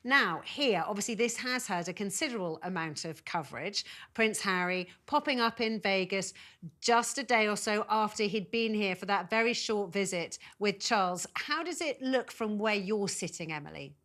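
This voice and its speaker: A woman's voice: mid-range voice, lively intonation, normal speed, a bit bright. A British woman presenting a live TV news discussion and putting questions to guests. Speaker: news show host. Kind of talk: live TV interview.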